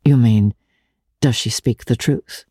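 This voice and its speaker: Female reader as worldly young countess in literary drama: poised, reflective, ironic, with a dark husky tone. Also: very deep voice, slow pace, no echo, studio quality, exaggerated voice. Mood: guarded, questioning.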